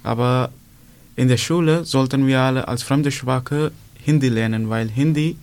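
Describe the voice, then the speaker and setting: A man's voice: mid-range voice, normal speed, darkish tone. Man asking curious questions. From India. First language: Punjabi. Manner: curious questions in careful learner German. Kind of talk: casual chat.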